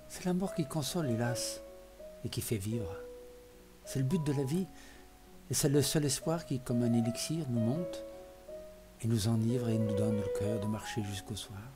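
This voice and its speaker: A man gives a dramatic, literary poetry reading, declaiming phrase by phrase with expressive emphasis. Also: mid-range voice, moderate pace, long pauses. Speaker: poetry reader. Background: music behind the voice.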